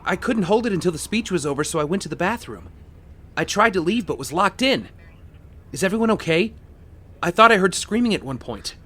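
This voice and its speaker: A man's voice: high voice, lively intonation, brisk, bright, forceful tone, full character voice. A young man playing a rich playboy with a secret heroic life in an audio drama. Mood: feigned innocence.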